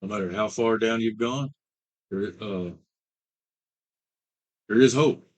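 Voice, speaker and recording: A man in his late fifties with a very low, husky voice shares his recovery story as a treatment client. He talks haltingly, with many fillers and restarts. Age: 50s. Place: treatment center.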